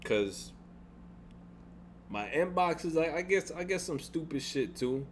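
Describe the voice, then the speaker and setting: A young man with a deep voice venting in a casual vlog, frustrated and rambling, restarting sentences and swearing. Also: expressive, measured pace, slight background noise. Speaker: gaming YouTuber.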